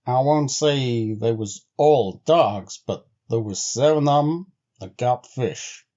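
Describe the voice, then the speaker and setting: Man playing an insistent, slow-spoken rustic dog driver. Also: medium pitch, dark tone, dull audio, close to an everyday voice. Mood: grave insistence. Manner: deliberate, grave, rustic old-time speech. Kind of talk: storybook character voice.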